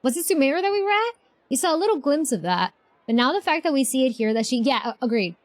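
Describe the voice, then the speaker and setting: A woman's voice: high-pitched, very animated, fast talker, no echo. A woman streaming a game, chatting casually and effusively, repeating herself as she reacts. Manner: effusive, repeats herself, talks back to characters. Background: game music.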